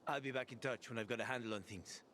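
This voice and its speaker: A man's voice: medium pitch, fast talker, sharp tone, a little hiss or hum, lightly acted. A man voicing a weary young man set on escape. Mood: earnest. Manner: friendly, coaxing, a bit crude. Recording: game soundtrack music behind.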